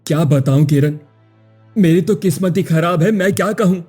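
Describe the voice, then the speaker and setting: A woman's voice: fairly low pitch, normal speed, dark tone, husky, soft background music. A woman voicing a poor young woodcutter husband who is weary and pleading. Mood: despairing. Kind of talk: cartoon voice acting.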